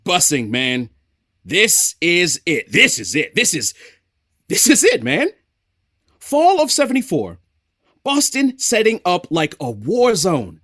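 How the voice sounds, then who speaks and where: Exasperated, streetwise storyteller for audio drama: colloquial, rhythmic, very lively delivery, dry sound. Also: male voice, high voice, slow, dry recording, exaggerated voice. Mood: exasperated, urgent. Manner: colloquial, rhythmic, repeats phrases for effect. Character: streetwise, exasperated narrator of a city in crisis.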